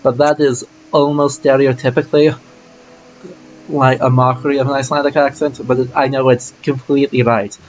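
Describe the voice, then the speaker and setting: A man's voice: medium pitch, little pitch movement, moderate pace, lo-fi sound, a little hiss or hum. A man streaming a game, musing aloud casually about his choices as he plays. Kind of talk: let's play commentary.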